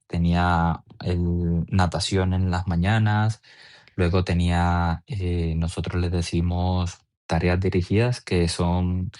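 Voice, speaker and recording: A man's voice: low-pitched, darkish tone. A man in his twenties with a Venezuelan accent, telling his personal story as an athlete being interviewed. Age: 20s.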